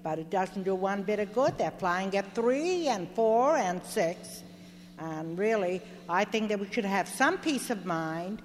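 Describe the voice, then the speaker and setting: Older woman, lively insistent complaint, echoey hall. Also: mid-range voice. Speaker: resident speaking at a public hearing. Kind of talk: public comment at a hearing. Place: large meeting hall. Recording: echoey hall with faint hum.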